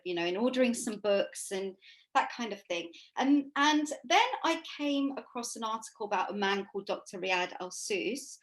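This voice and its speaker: A woman speaking as an interviewed author, giving informal, reflective answers that come out haltingly as she thinks. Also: fairly high pitch, rough recording.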